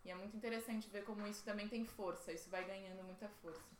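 A woman's voice: medium pitch, steady tone, fast. A Brazilian woman speaking casually as she facilitates an informal group workshop. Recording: noisy, echoey room with ambient sound.

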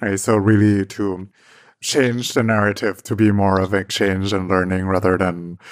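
Guy co-hosting a podcast, lowish voice, kinda halting questions, close dry sound. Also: little pitch movement, no echo. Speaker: podcast co-host. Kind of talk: conversational interviewing.

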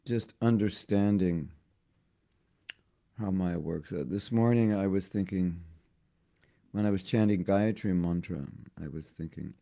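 A hoarse man giving a devotional class, talking haltingly and often repeating words as he thinks. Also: low voice, mellow. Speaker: spiritual teacher.

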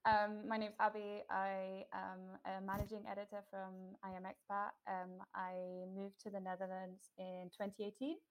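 A woman from the United Kingdom talks casually on a webinar, loose and chatty, filling gaps with um and like. Her pitch sits in an ordinary middle range for a woman. She is heard through a video call mic. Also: completely flat delivery, normal speed, hardly any pauses. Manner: chatty, many "um"s and "like"s. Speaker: managing editor, panelist.

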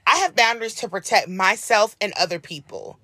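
A hoarse woman co-hosting a casual podcast, talking earnestly and encouragingly with drawn-out emphasis. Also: mid-range voice, sharp tone, no echo, clean studio sound. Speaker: podcast co-host.

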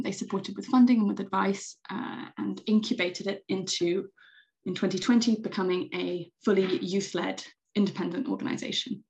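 A young woman with a British accent talks through her work in an enthusiastic, chatty way, filling pauses with ums. Her voice carries a noticeable vocal fry at mid pitch. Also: a little mellow, poor audio. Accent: British English. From Britain. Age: young adult. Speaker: secretary general of a youth organization. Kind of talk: podcast interview answers.

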